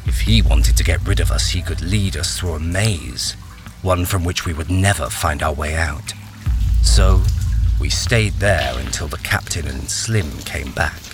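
A man's voice: extremely low pitch, measured pace. An actor playing a haunted miner, narrating his ordeal in a brooding, suspenseful radio-drama style. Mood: wary. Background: music.

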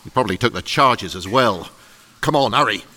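An actor playing a hard, commanding gang captain in a radio drama, speaking in terse orders. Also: male voice, fairly low pitch, animated, a little fast, sharp tone. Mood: urgent anger.

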